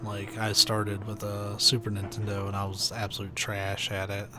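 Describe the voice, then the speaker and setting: A young man with a soft, soothing voice, chatting casually and rambling while he plays a video game on stream. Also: on the low side, normal speed, music in the background. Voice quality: soft, soothing, sleepy-sounding voice. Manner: rambling, laid-back, many "like"s and "uh"s. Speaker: let's play streamer. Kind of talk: casual commentary while gaming. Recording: steady background noise hiss.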